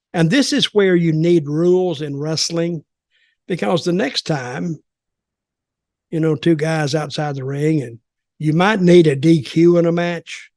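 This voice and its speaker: A man's voice: on the high side, darkish tone, no room sound, clean studio sound. An older man in casual, rambling, opinionated talk about wrestling as a podcast commentator.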